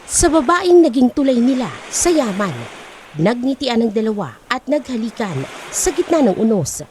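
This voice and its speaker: A woman's voice: a little high, sing-song, normal speed, darkish tone, slight background noise. A woman narrating an audiobook with a dramatic, scripted storytelling delivery.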